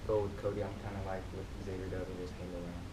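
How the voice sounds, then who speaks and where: A man in his twenties chatting informally and in a low-key way as a convention panelist. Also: level tone, quick pace, echoey room. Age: 20s. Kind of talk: casual panel chat. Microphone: distant from mouth. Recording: distant mic, rumbly room noise.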